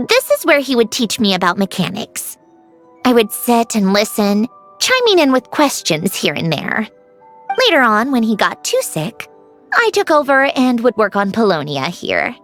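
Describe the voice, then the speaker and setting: A woman's voice: fairly high pitch, a bit bright. A woman playing a proud young female genius mechanic in a video game, speaking with dry, precise self-assurance and a wistful streak. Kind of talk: scripted video game dialogue.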